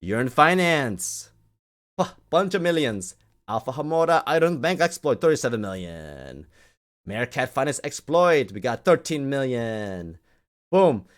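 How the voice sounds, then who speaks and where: A man's voice: mid-range voice, sing-song, a little slow. A man ranting off the cuff to an online audience as a community host, scolding and emphatic, often repeating phrases to drive them home.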